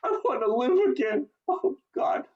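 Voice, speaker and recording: A woman's voice: high voice, very animated, moderate pace, slightly rough, heavily acted. A woman playing an emotional young family man in an amateur radio play, recorded over a video call. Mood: desperate pleading. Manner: emotional, exclamatory. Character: George, desperate, then joyful young family man. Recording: muffled video-call audio.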